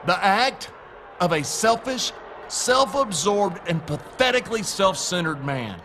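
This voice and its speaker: A man playing a pompous, scornful villain wrestler who hosts a talk show in a video game, speaking in emphatic, deliberate promo style. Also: sits high, very animated, noisy background.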